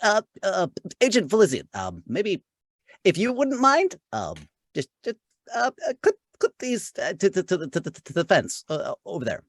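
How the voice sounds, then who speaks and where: A man's voice: high voice, wildly swooping pitch, normal speed, no echo, studio quality, pitched above the performer's own voice, heavily acted. A man voicing a pedantic, hesitant science nerd who stutters and repeats his words in a tabletop game. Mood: nervous, apologetic. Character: fussy, stammering male fringe researcher, bureaucratic science nerd.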